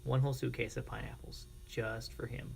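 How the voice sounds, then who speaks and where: An American man streaming casually, chatting informally and reacting to viewers in a creaky, gravelly voice. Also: medium pitch, flat delivery, fairly quick, darkish tone, a little hiss or hum. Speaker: live streamer. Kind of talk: casual livestream chat with viewers.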